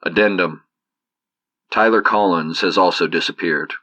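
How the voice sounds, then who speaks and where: An actor playing a cool, measured government investigator in an audio drama. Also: male voice, low voice, pushed and bright, rough recording. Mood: formal. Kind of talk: audio drama acting, formal report.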